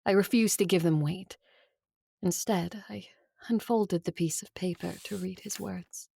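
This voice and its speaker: A woman's voice: on the low side, measured pace, creaky, dark tone, husky, exaggerated voice. A woman acting an idealistic, stubborn vampire ruler in an audio drama, earnest and impassioned. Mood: reflective.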